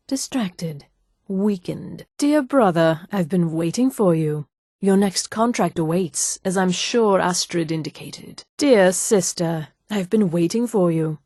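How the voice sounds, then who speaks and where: An elven assassin of a dark brotherhood for a fantasy game. Her voice sits fairly low and is recorded close and dry. She speaks formally and deliberately, with composed, cool menace. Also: dry recording. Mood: calculating. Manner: formal, measured, deliberate diction. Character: poised, coolly menacing elf woman assassin of a dark brotherhood. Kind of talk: scripted fantasy video game dialogue.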